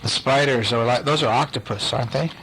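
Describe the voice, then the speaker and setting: A man's voice: mid-range voice, brisk, gravelly, a bit bright. A man reading a picture book aloud to young children, chatting and quizzing them, acting out sound effects playfully in a noisy home recording.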